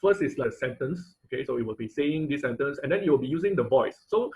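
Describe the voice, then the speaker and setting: A man teaching an online class in an informal, halting tutorial style, often checking in with listeners. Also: medium pitch, animated, a little mellow, lo-fi sound. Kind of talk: informal tutorial with live demonstration. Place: online video call class.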